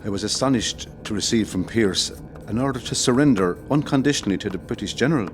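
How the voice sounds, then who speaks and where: Low-voiced condemned Irish rebel played by a man. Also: fast talker, slight background noise, natural voice. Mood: grave, resigned. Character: condemned Irish rebel commandant writing farewell letters, grave, tender. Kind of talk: solemn audio drama monologue.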